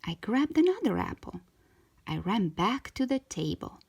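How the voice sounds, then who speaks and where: Animated woman reading a children's story, slower pace. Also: medium pitch, gravelly, slightly rough. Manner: expressive, drawn-out emphasis for young listeners. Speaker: storytime host reading a children's book aloud.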